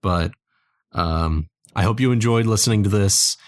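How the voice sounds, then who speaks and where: A man in his early twenties, tired with a sore throat and slight cold, chatting casually and haltingly as the narrator of a fan audio play. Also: fairly low pitch, dry recording, studio quality. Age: early 20s. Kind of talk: casual, rambling outro chat.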